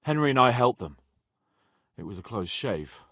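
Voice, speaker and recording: A young medieval lord for a video game, hot-headed and entitled. His voice is a little husky and swings dramatically in pitch, recorded close and dry, with sarcastic, exasperated delivery. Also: dry recording, full character voice. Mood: grave, explaining. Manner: expressive, sarcastic, swearing, quick to exasperation.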